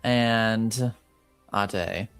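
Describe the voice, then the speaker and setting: A man's voice: on the low side, fairly even intonation, drawn-out words, a bit bright, clear, resonant tone, faint music behind. A man guiding a tabletop roleplaying game as game master, casual and amused.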